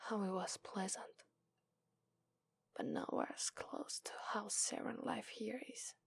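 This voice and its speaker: A woman with an Italian accent voicing a gentle, lovestruck female fox spirit in a soft, whispered ASMR roleplay. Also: mid-range voice, fairly even intonation, a little slow, gravelly, slightly rough, heavily acted. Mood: tender whisper.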